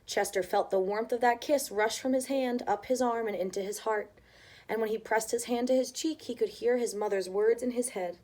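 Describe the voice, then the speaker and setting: A woman reading a picture book aloud to children as an expressive storyteller. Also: a little high, normal speed.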